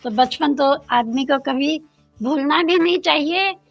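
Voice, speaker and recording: An older woman from India, a guest in an interview, speaking in a slow, thoughtful way and mixing in English phrases. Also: high-pitched, vocal fry, soft background music.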